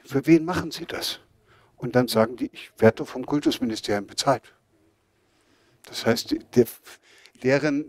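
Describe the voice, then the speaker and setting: A man's voice: medium pitch, no room sound. An older man speaking bluntly and emphatically as he answers audience questions at an outdoor lecture. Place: outdoors in a garden before a seated audience.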